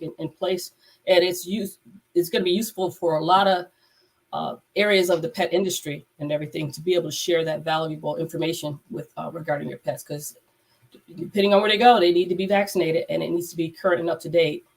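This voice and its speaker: A woman's voice: lowish voice, fast talker, breathy edge, low-quality recording. Congested woman veterinarian host. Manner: rambling, with many fillers and restarts. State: congested from a cold. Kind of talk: casual live-stream chat. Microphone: video call.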